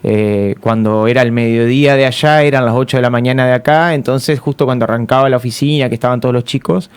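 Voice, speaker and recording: A man's voice: medium pitch, fairly even intonation, fast talker, clear, resonant tone. A man with an Argentine accent, casually recounting his own experience as a guest in an interview. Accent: Argentine Spanish.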